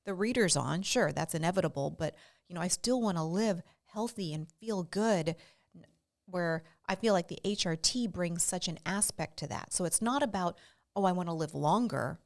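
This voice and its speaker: Lower-voiced woman in her early fifties. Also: moderate pace. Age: early 50s. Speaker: podcast co-host, doctor. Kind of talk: casual, personal health conversation.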